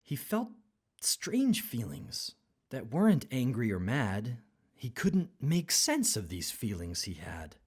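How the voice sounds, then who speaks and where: A woman with a husky voice narrating a rhyming children's story expressively. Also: a bass voice, wildly swooping pitch, slow pace, darkish tone.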